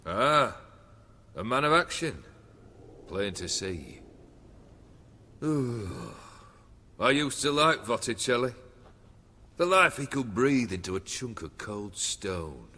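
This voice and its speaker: A man's voice: medium pitch, sing-song, takes it slowly, pauses often, sharp tone, heavily acted. A man playing a jaded noble outlaw chief in a video game, languid, philosophical and theatrical. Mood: jaded, musing. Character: jaded, world-weary nobleman leading a band of outlaws. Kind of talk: video game cutscene acting. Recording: long reverb, faint background noise.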